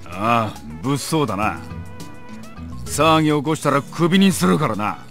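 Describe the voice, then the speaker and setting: A man playing a short-tempered landlord and shop manager in a visual novel, with brusque, scolding, rough speech. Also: medium pitch, sing-song, fairly quick, fairly bright tone, faint music behind. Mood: gruff, dismissive. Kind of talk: Japanese visual novel voice acting.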